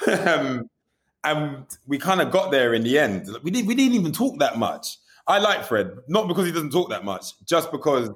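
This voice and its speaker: Guy talking about his video work on a podcast, chatty and animated, swears a bit, keeps saying you know what i mean. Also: mid-range voice. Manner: animated, candid, swears casually. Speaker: music video director, guest. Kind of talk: casual interview storytelling.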